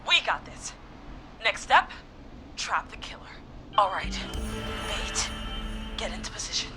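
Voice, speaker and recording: A woman's voice: mid-range voice, big pitch swings, measured pace, bright, forceful tone, soft background music. An actress playing a desperate young woman leading a getaway plan in a video game, with noise behind her voice. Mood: urgent commanding.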